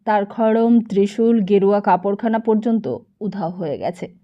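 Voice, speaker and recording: A woman's voice: medium pitch, moderate pace, lo-fi sound. A woman narrating a novel expressively as an audiobook.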